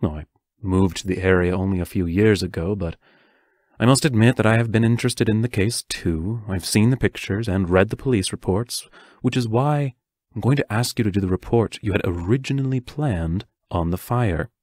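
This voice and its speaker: A man's voice: fairly low pitch, moderate pace, a little mellow, no room sound, studio quality, close to an everyday voice. An actor playing a serious, protective male schoolteacher who speaks in careful, formal phrases in an audio drama. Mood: grave, cautious.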